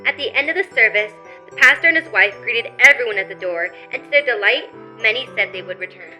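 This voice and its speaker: A woman's voice: a little high, fairly quick, pushed and bright, poor audio. A woman narrating a scripted story in the style of an old-time radio play. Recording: faint music behind.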